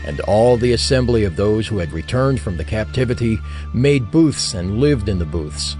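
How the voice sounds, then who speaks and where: A man reading scripture as the narrator of an audio drama, in a measured, formal manner. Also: lowish voice, animated, a little mellow, faint music behind.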